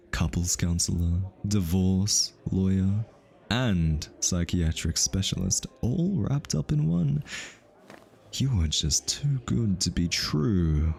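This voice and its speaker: A man plays a hitman undercover among high society in an ASMR roleplay. His voice is low and he takes his time over his words. He is pretentious and archly courteous, teasing with polished politeness while being easily flustered underneath. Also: gravelly, dark tone, heavily acted. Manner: arch, teasing, theatrically polite with halting hesitations. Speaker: voice actor.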